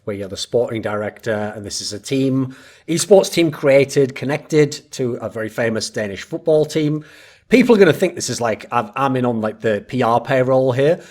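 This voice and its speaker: A man's voice: mid-range voice, lively intonation, moderate pace. Man asking long, winding interview questions. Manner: long-winded, builds questions at length. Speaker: interviewer, show host.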